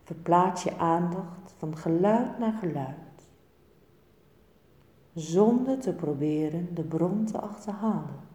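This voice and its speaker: A woman teaching yoga nidra, giving guided relaxation instructions in a calm, soothing, measured way with pauses between short phrases. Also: lowish voice. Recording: echoey room with long reverb.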